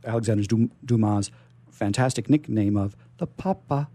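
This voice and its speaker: A man's voice: fairly low pitch, normal speed, dark tone. A man hosting a radio show, chatting casually and enthusiastically with his guests. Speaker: radio host. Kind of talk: casual on-air interview chat.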